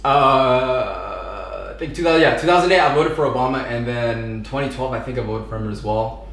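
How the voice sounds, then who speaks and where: A man in his thirties from Southern California chats as a podcast guest. He rambles easily, with filler words and slang, in a mid-pitched voice. The sound bounces around a noticeably echoey room. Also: moderate pace, fairly bright tone. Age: 30s. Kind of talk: casual conversation.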